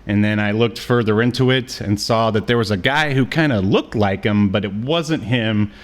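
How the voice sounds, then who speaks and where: A man's voice: on the low side, normal speed. Man chatting casually as podcast co-host. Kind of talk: casual media review chat.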